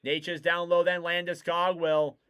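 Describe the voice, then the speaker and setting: A young man doing live play-by-play for a hockey stream, excitable and rising to shouts on big plays, his voice wearing out. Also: on the high side, normal speed, clean tone, dull audio. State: losing his voice. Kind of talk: play-by-play commentary.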